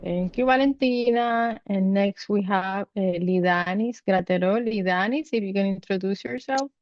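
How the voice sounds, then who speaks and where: A woman's voice: mid-range voice, normal speed, highs cut off, a little hiss or hum. A woman hosting an online panel over a video call, introducing each speaker in turn. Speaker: panel host.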